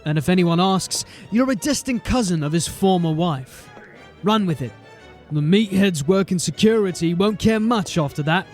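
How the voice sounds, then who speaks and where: High-pitched, sardonic watch officer. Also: male voice, lively intonation, moderate pace, darkish tone, faint music behind. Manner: dry, guarded, blunt. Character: wary, sardonic male city watch officer. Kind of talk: fantasy audio drama acting.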